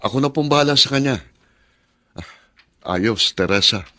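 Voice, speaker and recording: An actor playing a helpful older brother and dutiful son in a Filipino radio drama. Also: male voice, medium pitch, lively intonation, measured pace, sharp tone. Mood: concerned, helpful.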